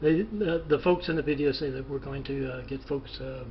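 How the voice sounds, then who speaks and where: A man rambling haltingly through a phone interview, with hesitant pauses and a muffled, noisy recording. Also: medium pitch. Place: phone call.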